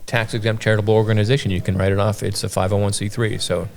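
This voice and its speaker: A man in a casual podcast chat, brisk and affirming, often chiming in with short agreements. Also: lowish voice, level tone, darkish tone.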